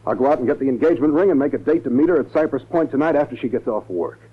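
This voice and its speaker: A man's voice: mid-range voice, animated, racing, slightly rough. An actor playing a troubled, earnest male ex-convict with a hesitant manner in a 1940s radio drama. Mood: grateful, eager. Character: troubled, anxious ex-convict in love, easily swayed. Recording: tinny, narrow 1940s radio recording.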